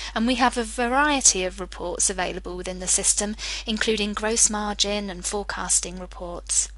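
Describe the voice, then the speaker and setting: Female demo presenter, mid pitch, bright and forward, scripted step-by-step narration, dry close recording. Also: dry recording, poor audio. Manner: clear step-by-step explaining. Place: voice-over for screen recording.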